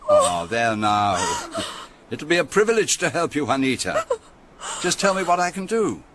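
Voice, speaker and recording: Lively, high-voiced gallant major. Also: male voice, normal speed, background noise. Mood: surprised, reassuring. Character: kindly, hearty British army major, gallant and reassuring. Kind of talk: radio drama dialogue.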